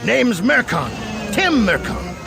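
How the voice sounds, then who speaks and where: A man's voice: high voice, very animated, slow pace, creaky, over music, full character voice. A man playing a friendly old rogue trader in a space opera audio drama, folksy, rambling and given to nervous chuckles. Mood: chatty, probing. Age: older.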